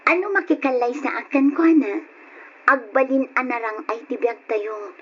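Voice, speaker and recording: An actress playing a gentle, dutiful young granddaughter with an Ilocano accent, respectful and hesitant in old radio drama style. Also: female voice, high voice, normal speed, lightly acted. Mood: troubled, earnest. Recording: noisy lo-fi radio drama recording.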